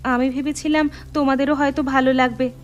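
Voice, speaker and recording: A woman's voice: sits high, flat delivery, brisk, natural voice. A woman playing a young Bengali daughter-in-law in a cartoon, caring, earnest and respectful, eager to please. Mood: apologetic. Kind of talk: animated moral story voice acting.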